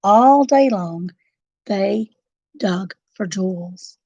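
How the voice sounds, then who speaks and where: A woman narrating a children's storybook in an expressive, dramatic and deliberate style. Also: medium pitch, no echo, dull audio.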